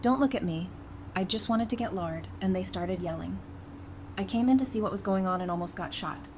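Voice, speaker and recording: A woman's voice: medium pitch, a little fast, darkish tone, a little hiss or hum, natural voice. A woman playing a frank, curious survivor in an audio drama, with a wry conversational manner. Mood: defensive. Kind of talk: fan audio drama acting.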